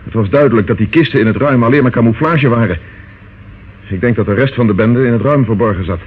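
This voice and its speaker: A male actor as a dutiful police officer reporting to his superior in a radio play. He talks quickly in a medium-pitched, formal, clipped manner. The recording is phone-like and slightly noisy. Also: natural voice. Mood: sober, apologetic. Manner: brisk, factual, formal. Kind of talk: radio drama acting.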